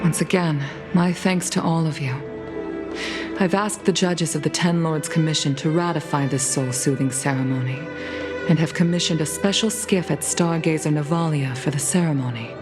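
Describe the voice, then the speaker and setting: A dignified fox-like commander in a game, voiced by a woman speaks in a low, measured and formal tone, heavy with sorrow. Her words come slowly and carefully. Game music plays clearly underneath. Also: lightly acted. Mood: solemn. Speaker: commander of a fleet commission. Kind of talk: game cutscene voice acting. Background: game soundtrack music.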